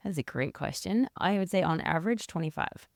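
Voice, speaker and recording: A woman's voice: lowish voice, animated, fairly quick, gravelly, dry recording, studio quality. An Australian woman in her forties, talking casually and conversationally as an interview guest. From rural South Australia. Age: 40s. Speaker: interview guest, leadership consultant and researcher.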